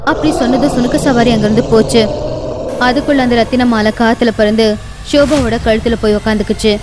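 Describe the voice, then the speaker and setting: A woman narrating a scripted animated bedtime story. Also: fairly high pitch, heavy background noise.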